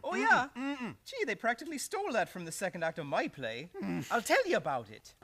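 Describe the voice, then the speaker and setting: A man's voice: high voice, huge pitch swings, a little fast, sharp tone, husky, exaggerated voice. An actor playing an eager, dim policeman who fancies himself a playwright, gushing colloquially in radio comedy style. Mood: eager, chatty. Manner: gushing, colloquial tough-cop diction. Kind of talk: live old-time radio comedy acting.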